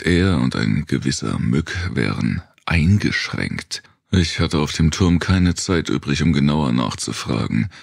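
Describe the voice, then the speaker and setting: A man voicing a weary, guarded male wanderer in an audiobook, low-key and hesitant in manner. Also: creaky, dry recording, clean studio sound, heavily acted.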